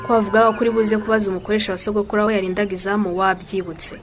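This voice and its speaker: A woman's voice: mid-range voice, level tone, rapid-fire, music in the background, close to an everyday voice. A woman with a Rwandan accent playing an outspoken, emphatic young daughter in a radio drama. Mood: fed up.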